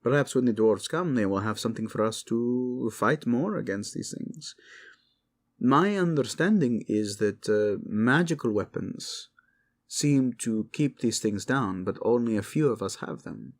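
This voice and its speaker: A man's voice: mid-range voice, animated, measured pace, close to an everyday voice. A man playing a weary, modest and loyal knight-captain, sombre and earnest, in a fantasy audio drama.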